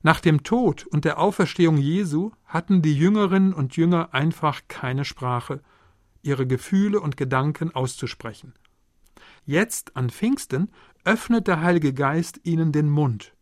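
Earnest preacher, dry close sound. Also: male voice, on the high side, lively intonation, no echo. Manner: measured, earnest preaching with rhetorical questions. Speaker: preacher, theology lecturer. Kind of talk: Pentecost sermon.

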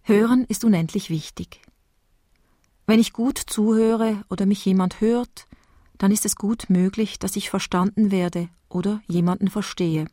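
A woman from Basel with a Swiss German accent, calmly reading a meditative sermon. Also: mid-range voice, no echo, studio quality. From Switzerland, Basel. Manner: calm, measured, reflective reading. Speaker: preacher giving a spoken devotion.

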